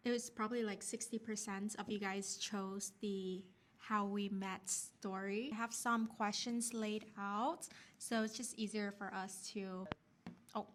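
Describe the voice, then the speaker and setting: Chatty young woman vlogger. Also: medium pitch. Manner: chatty and upbeat, talks straight to viewers. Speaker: vlog host, wife. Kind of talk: casual story time chat.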